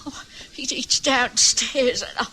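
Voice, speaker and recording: A frail, raspy, slow-spoken elderly wife. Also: female voice, on the high side, pushed and bright, exaggerated voice, rough recording. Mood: pleading, weak. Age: older. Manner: halting, plaintive. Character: frail, weary, long-suffering ailing elderly wife. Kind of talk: old-time radio drama acting.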